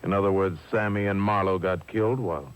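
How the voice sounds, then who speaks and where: An actor playing a cynical, cold-blooded killer pilot in a 1950s radio mystery, curt and threatening. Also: male voice, sits low, steady tone, brisk, clear, resonant tone, close to an everyday voice. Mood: menacing. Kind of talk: 1950s radio villain acting. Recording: hissy old radio broadcast on cassette.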